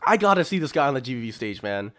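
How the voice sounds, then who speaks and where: A man's voice: mid-range voice, sing-song, rapid-fire, fairly bright tone, dry recording. A man co-hosting a podcast, chatting casually in a slangy, opinionated way. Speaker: podcast co-host. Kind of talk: casual chat.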